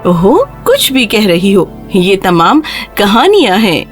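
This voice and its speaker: A woman's voice: medium pitch, sing-song, soft background music, lightly acted. A woman voicing a reluctant but sympathetic lady passer-by in a children's cartoon story. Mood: dismissive.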